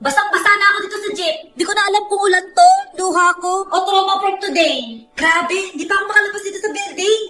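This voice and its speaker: A dramatic Filipino student played by a man in a comedy skit. The pitched-up voice is extremely high and whiny, with exaggerated complaining over music. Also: quick pace, pushed and bright, heavily acted. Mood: dramatic, distressed. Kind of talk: short comedy skit acting.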